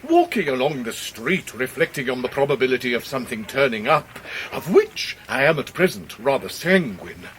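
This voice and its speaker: An actor with an English accent playing a pompous, genial, hard-up middle-aged Victorian gentleman in a radio drama, with florid, theatrical delivery. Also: medium pitch, sing-song, moderate pace. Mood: delighted surprise. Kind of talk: 1990s British radio drama acting. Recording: noisy radio drama recording.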